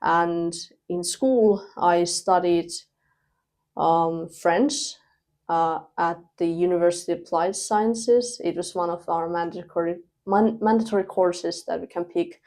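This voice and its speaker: A young woman with a fairly low voice talks as a podcast guest. She sounds relaxed and easygoing, slipping in small fillers as she gathers her thoughts. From Finland. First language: Thai. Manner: relaxed, laughs between thoughts, pauses with fillers. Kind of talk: casual interview chat.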